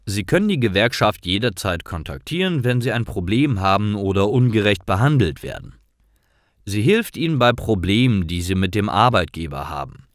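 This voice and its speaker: Man playing a patient, friendly personnel officer, lively intonation. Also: fairly low pitch, moderate pace, no echo, studio quality. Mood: patient, explanatory. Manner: explanatory, courteous. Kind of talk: German language-learning story dialogue.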